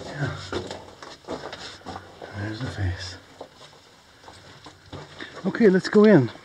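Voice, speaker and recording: A man casually narrating as he hikes a desert canyon and explores old mine tunnels, relaxed and chatty, pointing things out along the way. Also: medium pitch, drawn-out words, background noise. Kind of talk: casual narration while hiking.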